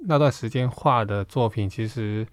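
Low-voiced man, halting interviewee. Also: no echo, clean studio sound. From Hong Kong. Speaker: podcast guest, comic artist. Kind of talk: reflective interview talk.

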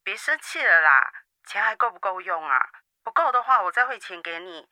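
A woman's voice: mid-range voice, lively intonation, fairly quick, bright, forceful tone, no room sound. A woman as a wheedling busy daughter. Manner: wheedling and excuse-making, suspicious of others. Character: busy married daughter, placating and evasive. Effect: phone call. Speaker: daughter on the phone in a radio drama. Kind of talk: radio drama acting.

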